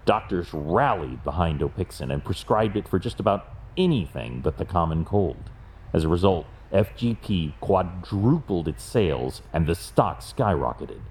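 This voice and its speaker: A man playing a brooding, wealthy vigilante detective in an audio drama, grave and deliberate in his delivery. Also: sits low, animated, a little mellow, a little hiss or hum. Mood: grim, factual.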